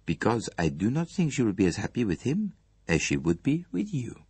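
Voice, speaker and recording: A man voicing a courteous, shrewd foreign detective in an audiobook, with formal, measured, precise phrasing and a gently probing manner. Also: lowish voice, wildly swooping pitch, husky, no room sound, voice pushed up, heavily acted. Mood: gently reproving.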